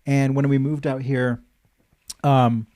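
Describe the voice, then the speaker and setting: A man's voice: mid-range voice, level tone, slow, mellow. A man in his thirties from Los Angeles, hosting a podcast and chatting candidly, swearing casually. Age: 30s. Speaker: podcast host, financial advisor. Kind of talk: casual interview chat.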